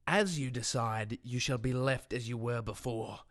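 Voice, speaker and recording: A man playing a sinister, taunting, monstrous villain in an audio drama, with slow, theatrical, grandiose delivery. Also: mid-range voice, fairly bright tone, no echo, clean studio sound, natural voice. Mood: menacing, tempting.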